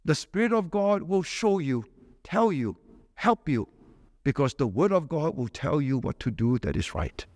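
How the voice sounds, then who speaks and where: A man's voice: big pitch swings, normal speed, no room sound, clean studio sound. A man preaching a sermon, telling a personal story and building to emphatic, repeated lists. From Malaysia. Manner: repetitive emphatic lists, rising to forceful pitch.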